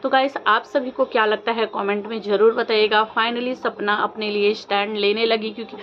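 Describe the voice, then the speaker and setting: A woman's voice: mid-range voice, steady tone, moderate pace. A woman vlogger giving informal opinion commentary, questioning insistently and repeating her points for emphasis. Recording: dull lo-fi audio with faint noise.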